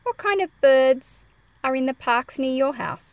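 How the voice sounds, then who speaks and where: Lively, high-voiced woman reading to children. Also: measured pace, no echo, highs cut off. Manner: expressive, explanatory, addressing young listeners with questions. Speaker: read-aloud presenter teaching children. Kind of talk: picture book read-aloud with explanations for children.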